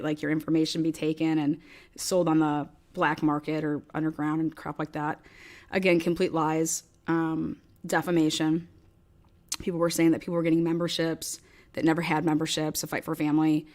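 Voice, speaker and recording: A woman hosting a casual livestream rant, speaking in a blunt, combative, profane way. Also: low-pitched. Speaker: livestream host.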